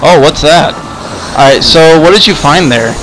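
A man chatting casually in improvised game roleplay, rambling and often repeating words haltingly. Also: a little high, background noise. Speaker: host and player in a roleplay game video. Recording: music behind the voice. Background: music.

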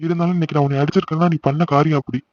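Protective big brother for family drama male actor: highish voice, rapid, earnest pleading, muffled lo-fi sound. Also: fairly even intonation, darkish tone, no room sound, lightly acted. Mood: stern, insistent. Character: protective, emotional young adult older brother pleading with family. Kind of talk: melodramatic family audio drama.

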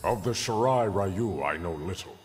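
An actor playing a proud, stoic warrior in a fighting game, speaking in a formal, deliberate way. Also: male voice, deep voice, expressive, heavy background noise.

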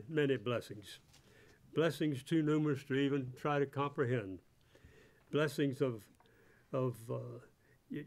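Halting man in his eighties giving testimony. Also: medium pitch, a little mellow. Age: 80s. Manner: halting, repeats words. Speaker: church member giving testimony. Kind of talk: church testimony, read partly from notes. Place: church sanctuary at a pulpit. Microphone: microphone on a stand at the pulpit.

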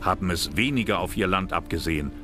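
A man with a very deep voice narrates a documentary in a calm, measured, serious manner. His delivery is scripted and steady. Clear music runs beneath his words.

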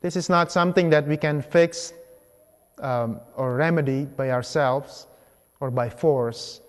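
Man preaching in a very reverberant church. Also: a little high. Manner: deliberate, short phrases with pauses. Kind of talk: homily, measured public preaching. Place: large church sanctuary, at the ambo.

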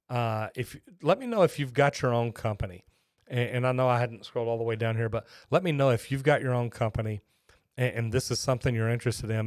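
A man's voice: medium pitch, no echo. A man, hosting a plumbing live stream in a folksy, rambling way on a close studio microphone. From Texas, USA. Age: 50s. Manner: folksy, rambling, chatty with restarts. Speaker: host, master plumber. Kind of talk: live-stream chat with viewers. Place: small home studio with shelves. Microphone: boom-arm studio microphone close up.

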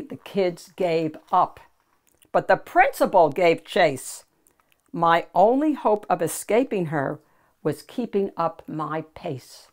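An older woman reads a children's picture book aloud in an animated, deliberate storyteller's manner. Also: lowish voice. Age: older, 60s or 70s. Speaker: children's storybook reader and host.

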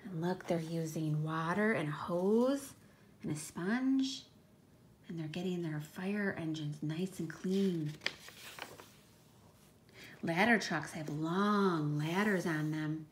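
A woman's voice: lowish voice, takes it slowly, pauses often, a little hiss or hum. A woman teacher reading a picture book to young children, speaking in an emphatic, child-directed way with questioning asides. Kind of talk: read-aloud storytime with explanatory asides.

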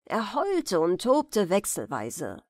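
A woman narrator giving a measured, careful, expressive reading of a fairy tale as a bedtime audiobook. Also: mid-range voice, no room sound, clean studio sound.

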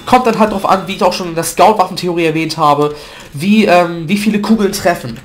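A man's voice: high voice, brisk. A man with a stuffy nose from a cold, chatting loosely through gameplay commentary with fillers and self-corrections, recorded at a desk mic at home. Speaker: gaming video commentator.

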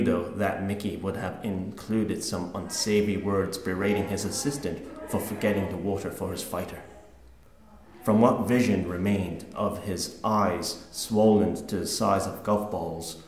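A man narrates a written short story, reading it aloud. His voice sits on the low side, and the room gives it a clear echo. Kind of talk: scripted narration, live reading. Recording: echoey room.

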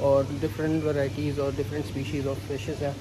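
A man's voice: fairly high pitch, completely flat delivery, a little fast, background noise. A young man vlogging on a phone held at arm's length, chatting to viewers outdoors and on a train. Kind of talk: casual travel vlog narration. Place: outdoors on city streets and on a train. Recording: loud music under the voice.

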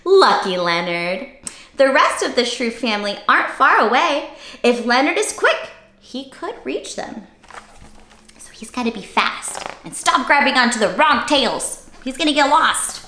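Animated, high-voiced young woman storyteller. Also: a little slow. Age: young adult. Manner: animated, exclamatory, expressive with gasps and questions. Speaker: children's read-aloud host. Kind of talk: interactive picture-book storytelling for young children. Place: small cozy indoor room. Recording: roomy indoor echo.

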